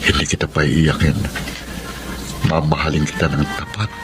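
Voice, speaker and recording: An actor playing a devoted, lovestruck best friend in a Filipino melodrama, pleading earnestly and tenderly with a Filipino accent. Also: male voice, very deep voice, level tone, moderate pace, over loud music. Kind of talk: Filipino radio-style melodrama acting.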